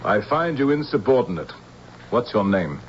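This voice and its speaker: An actor playing a stern, authoritarian general with a curt manner in a radio drama. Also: male voice, on the low side, sing-song, moderate pace, muffled recording. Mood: stern, indignant. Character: stern, overbearing male general. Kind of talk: 1970s radio drama acting. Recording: slightly noisy, band-limited old radio.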